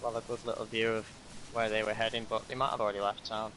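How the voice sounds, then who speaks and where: A man leading a roleplay squad, briefing the group in a calm, steady, instructive way with frequent uhs. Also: on the low side, racing, a little hiss or hum. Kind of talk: in-character tactical briefing.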